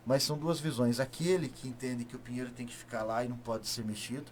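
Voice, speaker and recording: A man from southern Paraná with a rural southern Brazilian accent, speaking as a politician being interviewed, emphatic and halting, repeating words and asking rhetorical questions. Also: medium pitch, steady tone, a little mellow. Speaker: state deputy, interview guest. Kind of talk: political interview, spontaneous speech.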